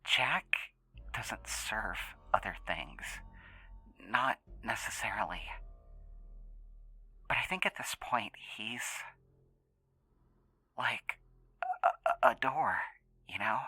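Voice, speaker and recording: An actor playing an anxious, earnest young man in an audio drama interview, speaking haltingly and repeating words. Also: fairly low pitch, expressive, bright, forceful tone, husky, exaggerated voice. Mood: worried, pleading. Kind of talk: naturalistic audio drama acting.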